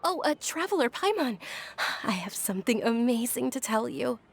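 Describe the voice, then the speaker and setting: A woman's voice: a little high, big pitch swings, moderate pace, gravelly, breathy edge, full character voice. A woman voicing an earnest, polite young woman in a video game. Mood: amazed, sincere. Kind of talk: video game character acting.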